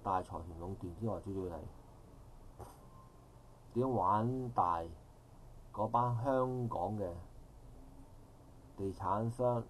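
A man's voice: lowish voice, slow, long pauses, a little hiss or hum. A middle-aged man with a Hong Kong Cantonese accent giving a long, rambling public comment at an outdoor park forum, with formal phrasing and frequent self-corrections. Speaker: audience member speaking at a public forum.

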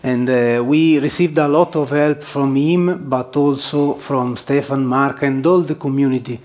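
A man's voice: medium pitch, steady tone, measured pace, a little mellow. A man presenting at a developer conference as one of its organizers, hesitating often with fillers and restarts. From southern Switzerland. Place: conference hall.

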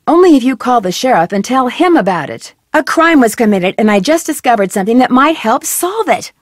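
A curious young detective heroine of a mystery video game. Her questions come out earnest and friendly, with a fairly high, lively, swooping pitch. The studio recording is close and dry. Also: brisk, dry recording. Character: young adult female amateur detective, curious and polite.

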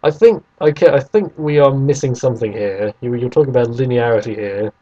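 A man's voice: medium pitch, a little fast, warm tone, muffled recording. A man co-hosting a podcast, casually arguing about video games and restating his points over an internet call. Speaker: podcast co-host.

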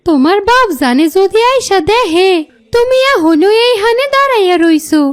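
A woman playing a gentle, caring village mother in a cartoon, speaking rural dialect with a Bangladeshi accent. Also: extremely high pitch, animated, moderate pace. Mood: fond chiding. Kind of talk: cartoon voice acting.

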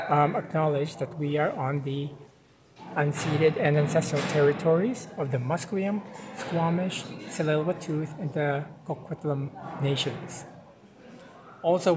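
A man's voice: a little high, poor audio. A man from the Philippines whose first language is Tagalog, hosting a casual walking vlog about contemporary art, rambling with many fillers as he tours a campus and its gallery. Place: outdoor campus plaza, then indoor gallery.

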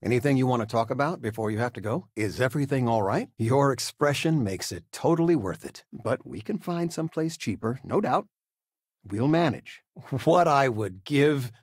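Retired teacher dad for a game: older man, lively intonation, husky, fond and gently joking, dry close sound. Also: medium pitch, normal speed, dry recording. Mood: warm, reassuring. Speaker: voice actor. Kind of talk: video game character dialogue.

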